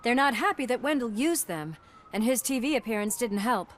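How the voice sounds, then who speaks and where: Woman acting high-voiced, tough female cop. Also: animated, slight background noise, close to an everyday voice. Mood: determined. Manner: brisk, no-nonsense. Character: tough, loyal female police officer, brisk and determined. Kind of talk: video game cutscene acting.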